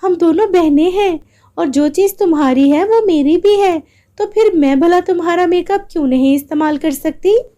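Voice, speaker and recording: A woman's voice: very high voice, moderate pace, dark tone, voice pushed up. A woman voicing a good-hearted young woman in a story, an earnest and caring elder sister. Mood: pleading.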